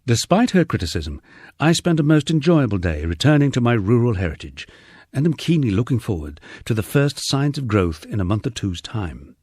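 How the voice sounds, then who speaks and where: A man's voice: mid-range voice, sing-song, normal speed, darkish tone, no room sound, clean studio sound. A man reading a memoir as an audiobook narrator, with a wry storytelling delivery.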